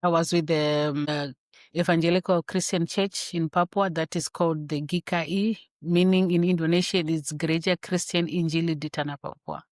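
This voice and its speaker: A woman from Zimbabwe, a grandmother and physician, telling her story in an interview, with thoughtful pauses and repeated words. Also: fairly low pitch, steady tone, no echo, clean studio sound. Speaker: guest, infectious disease physician.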